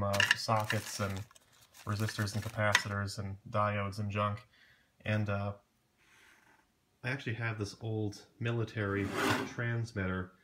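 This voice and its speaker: A man's voice: on the low side, fairly bright tone. A man giving a casual, matter-of-fact demonstration at his workbench, speaking informally and off the cuff. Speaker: hobbyist presenter. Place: indoor electronics workbench.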